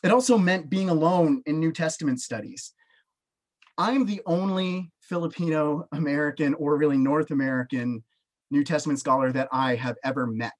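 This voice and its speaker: A man's voice: a little high, moderate pace. A man in his thirties with a Canadian accent, a panelist telling his own experience on a video call from a small home office. He speaks earnestly and with emphasis. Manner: earnest, emphatic, gestures with both hands. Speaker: panelist, professor. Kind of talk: personal testimony on a video call. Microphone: webcam on a video call.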